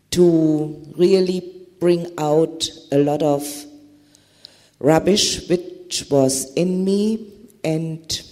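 Very slow, earnest man in a hall. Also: on the high side, a little mellow. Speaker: panel speaker. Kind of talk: reflective spiritual sharing at a Q&A. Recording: reverberant hall.

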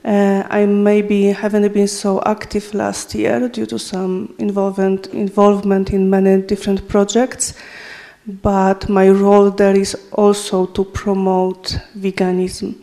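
A woman with a Polish accent speaks in a soft, mellow tone at a middle pitch. She talks haltingly and stops to search for words. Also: monotone. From Poland. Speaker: participant and interpreter. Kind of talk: casual group introductions.

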